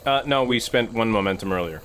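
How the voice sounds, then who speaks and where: A man's voice: lowish voice, a little fast, fairly bright tone, a little hiss or hum. A man playing a tabletop role-playing game, chiming in with casual banter.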